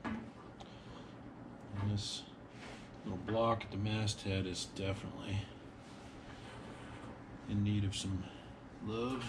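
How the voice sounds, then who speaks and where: A middle-aged man casually narrating boat maintenance in his garage and woodshop, relaxed and thinking aloud with a few pauses, picked up by a camera mic a little way off. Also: on the low side, noisy background. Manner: relaxed, explains as he works, some hesitations. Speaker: boat builder and vlogger.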